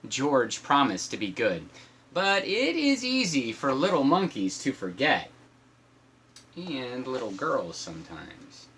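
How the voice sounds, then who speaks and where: A man with a husky voice reads a picture book aloud in an animated, playful way, with teasing asides as a father would to his child. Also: medium pitch, slow pace, a little hiss or hum. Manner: acts out shouts and sound effects, teasing.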